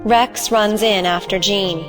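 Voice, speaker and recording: A woman's voice: mid-range voice, fairly even intonation, takes it slowly, music in the background. A woman reading stage directions as scripted narration for an audio play.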